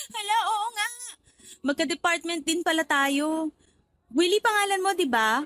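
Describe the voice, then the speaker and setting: High-voiced bubbly young office woman. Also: measured pace, no echo, heavily acted. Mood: cheerful, chatty. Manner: playful, expressive, exclaiming. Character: bubbly, chatty young female office trainee, friendly and forward. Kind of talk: Tagalog radio drama acting.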